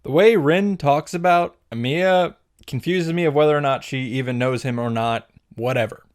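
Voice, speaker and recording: A man's voice: fairly high pitch, moderate pace. A man giving a comedic, irreverent recap narration as host, sarcastic and sweary, in punchy short sentences. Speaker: host of a visual novel summary series.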